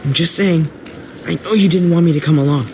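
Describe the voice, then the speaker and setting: A man's voice: fairly high pitch, brisk, a little mellow, a little hiss or hum, natural voice. An actor playing an eager, cocky teenage boy in a video game drama, with a boyish, insistent way of talking. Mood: smug, defensive.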